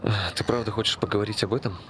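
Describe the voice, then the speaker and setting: An actor playing a dry, sardonic friend who provokes and teases in laconic, casual stage dialogue. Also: male voice, on the low side, steady tone, fast, fairly bright tone, lightly acted. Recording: clear ambient background noise.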